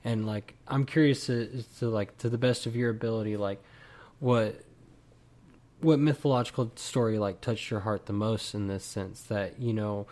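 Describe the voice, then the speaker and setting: A man's voice: on the low side, moderate pace, a little mellow. A man hosting a podcast, chatting casually and loosely with his guest, with lots of "like" and "you know". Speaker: podcast host. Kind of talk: casual interview.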